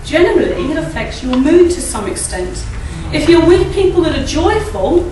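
Middle-aged woman, croaky, chatty church talk, high voice, echoey hall picked up from far off. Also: sing-song, moderate pace, mellow, slight background noise. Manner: chatty, asks the audience tag questions and laughs often. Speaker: church speaker. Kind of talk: informal talk at a church service. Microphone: standing mic at a lectern, filmed by a far camera. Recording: echoey hall, recorded from a distance.

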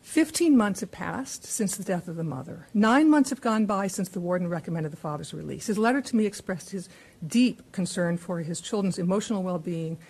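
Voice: medium pitch, a little mellow, breathy edge, low-quality recording. A witness at a public hearing, earnestly reading a prepared account with small restarts. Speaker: advocacy group witness. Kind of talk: formal prepared testimony. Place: hearing room.

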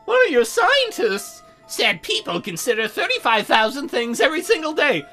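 Visual novel demon girl, played by a man: high, coy, prim, teasing, measured delivery, music behind. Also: sing-song, bright, forceful tone, full character voice. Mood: probing. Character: teasing, prim young female demon dealmaker.

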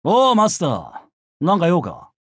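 An actor playing a brash, bloodthirsty young male samurai in a mobile game, with rough, swaggering speech. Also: on the high side, huge pitch swings, a little slow, fairly bright tone, dry recording, clean studio sound, full character voice. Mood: menacing. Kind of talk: Japanese mobile game character voice lines.

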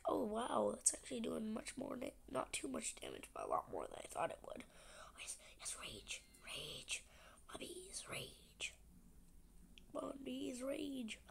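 Young gaming host, sick and stuffy, gravelly, slightly high voice, half-whispered chatter over gameplay. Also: female voice, wildly swooping pitch, unhurried. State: stuffy nose from a cold. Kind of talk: casual gameplay commentary.

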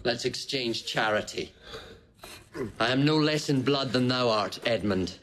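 An actor playing a noble, sorrowful wronged son, measured and solemn, in a classical Shakespearean audio drama. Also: male voice, medium pitch, a bit bright, slight background noise, lightly acted. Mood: grave.

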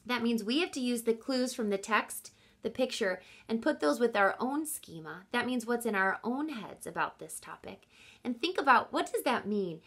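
Lively, bright-voiced woman reading to children. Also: on the high side, normal speed. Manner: expressive, instructive, addressing children directly. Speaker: reading teacher. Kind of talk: children's picture book read-aloud with questions to listeners.

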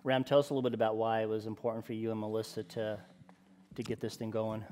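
A man's voice: on the low side, flat delivery, fast, dark tone, big-room sound, a little hiss or hum. A middle-aged man hosting casual church announcements and interviews in an informal, conversational way. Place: indoors, church stage.

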